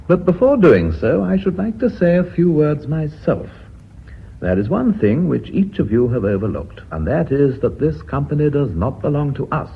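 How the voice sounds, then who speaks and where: An actor playing a blunt, authoritative company chairman in a radio drama, with an English accent and formal, measured, courteous speech. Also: fairly high pitch, big pitch swings, creaky, breathy edge, exaggerated voice. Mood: formal, firm. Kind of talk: BBC radio drama acting. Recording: muffled phone-like old radio recording, hiss.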